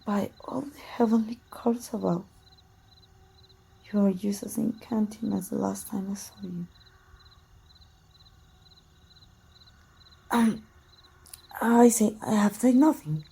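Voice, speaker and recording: A woman voicing a proud, teasing, wounded immortal warrior in an ASMR roleplay, with soft, breathy, archaic formal speech. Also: medium pitch, a little slow, pauses often, full character voice. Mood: flustered, smitten.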